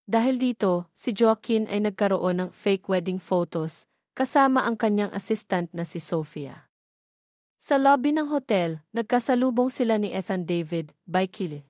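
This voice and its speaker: A Filipino woman narrates a scripted romance drama at a medium pitch. Her delivery is that of a storyteller reading prepared text, recorded very dry with no room sound around the voice. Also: expressive, normal speed, a little mellow, no echo.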